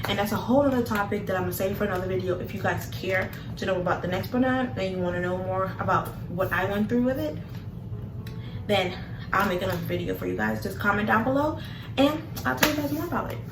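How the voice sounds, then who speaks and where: A young woman vlogger, telling a story casually, saying "like" and "literally" often. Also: mid-range voice. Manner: chatty, many "like"s and "literally"s. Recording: echoey room from a distance with background noise.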